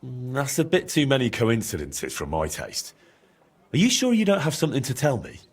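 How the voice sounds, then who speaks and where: An actor playing an earnest young medieval adventurer in a video game, direct and questioning, with a slightly husky voice. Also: male voice, medium pitch, huge pitch swings, normal speed, exaggerated voice. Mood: probing. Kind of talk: video game dramatic dialogue.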